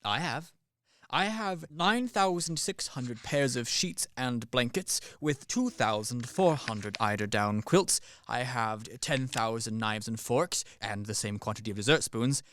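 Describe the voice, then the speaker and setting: A man's voice: medium pitch, expressive, measured pace, a bit bright. A man playing a practical Swiss army captain in his thirties in a radio comedy, matter-of-fact and emphatic. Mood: matter-of-fact boasting. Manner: matter-of-fact, emphatic, reeling off lists and figures. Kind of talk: stage-comedy radio play acting.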